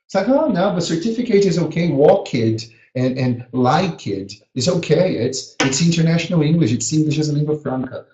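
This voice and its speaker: A man's voice: a little high, animated, normal speed, hardly any pauses, echoey room, highs cut off, noisy background. A man from Brazil, a native Portuguese speaker, teaching a live webinar lesson and drilling words with earnest emphasis. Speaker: teacher educator. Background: a small child heard now and then.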